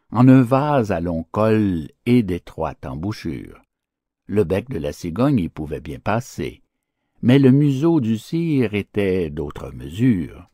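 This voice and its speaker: A low-voiced man narrates fables for an audiobook. His delivery is slow and theatrical, with richly varied intonation and a declamatory manner. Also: no echo, clean studio sound. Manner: declamatory, expressive.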